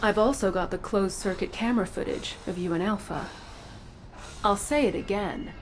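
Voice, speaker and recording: A woman's voice: mid-range voice, normal speed, slight background noise, close to an everyday voice. A woman playing a stern, secretive sci-fi intelligence operative in a video game, controlled, dry and coolly menacing. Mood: warning.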